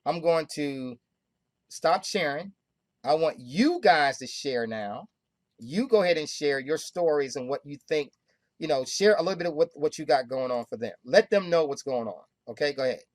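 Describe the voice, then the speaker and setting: A woman hosting a group call, pitching hard with emphatic, repetitive, preaching talk. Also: expressive, normal speed, no echo. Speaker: host of a money club call.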